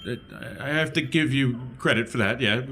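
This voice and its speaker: A man's voice: mid-range voice, brisk, bright, forceful tone. A man chatting casually as a player in a tabletop role-playing game. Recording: faint background noise.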